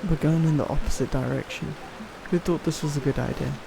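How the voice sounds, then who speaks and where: A man's voice: fairly high pitch, steady tone, brisk, gravelly, a crowd in the background, rough recording. A man giving casual, muttered gameplay commentary as he streams, offhand and lightly sweary. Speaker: video game streamer. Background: game music and effects.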